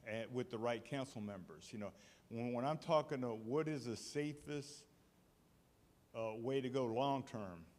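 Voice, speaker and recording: A man's voice: mid-range voice, unhurried, darkish tone. A retired military man from South Carolina, a resident asking questions at a public meeting and hesitating often. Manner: hesitant, trailing off, many fillers. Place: town meeting hall. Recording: long reverb of a meeting hall.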